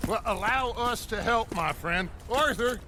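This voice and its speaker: A man's voice: high-pitched, measured pace, background noise. An actor playing a theatrical con man with florid, ingratiating manners in a western video game. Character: silver-tongued, theatrical male con man feigning humble good manners. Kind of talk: dramatic video game acting.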